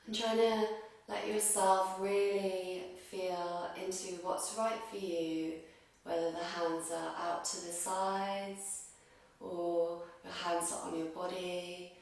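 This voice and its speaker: A woman teaching yoga nidra, guiding a meditation gently and unhurriedly in short phrases with pauses between them. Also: fairly low pitch, gravelly. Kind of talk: guided meditation, slow soothing instruction. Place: reverberant room, recorded from a distance. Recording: very echoey room, distant microphone.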